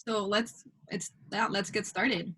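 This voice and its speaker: A woman's voice: medium pitch, fairly even intonation, slow pace, a bit bright, a little hiss or hum. A woman co-hosting a podcast over a video call, affirming and encouraging her guests. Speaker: co-host.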